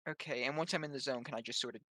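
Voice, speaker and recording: A man's voice: medium pitch, very fast, bright, forceful tone, dry recording, studio quality. A man playing a tabletop roleplaying game, casually asking about the rules.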